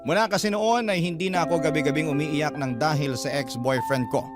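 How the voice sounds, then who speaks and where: A man's voice: a little high, fairly quick. Man reading a confessional letter over guitar. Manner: confiding, storytelling. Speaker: letter reader. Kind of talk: reading a listener's letter aloud as radio drama narration. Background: loud guitar music.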